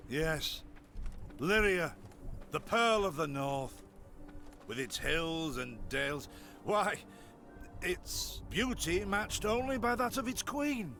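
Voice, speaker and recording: A man playing a courtly, flattering male noble adviser in a video game. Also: sits high, slow, long pauses, exaggerated voice. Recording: game audio over soft music.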